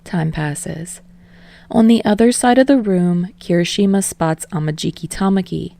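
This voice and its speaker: A woman reading a story aloud in an expressive, scripted narration style. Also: fairly low pitch, moderate pace, gravelly, no room sound. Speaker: audiobook reader of fan fiction.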